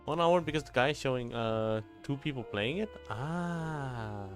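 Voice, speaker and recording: A man's voice: mid-range voice, animated, measured pace, over music. A man giving casual, muttered live commentary as he streams a video game.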